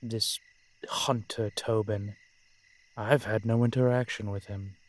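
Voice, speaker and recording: Slow, low-voiced gentlemanly old vampire played by a man. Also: steady tone. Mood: troubled, resolute. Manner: measured, formal, genteel phrasing with deliberate pauses. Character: courteous centuries-old male vampire, secretive and worldly. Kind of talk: scripted audio roleplay addressed to the listener.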